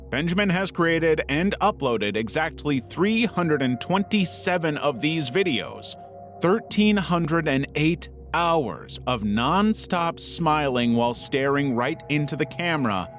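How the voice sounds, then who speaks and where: A man reading scripted narration in the role of an online video narrator. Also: a little high. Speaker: narrator of internet video countdown.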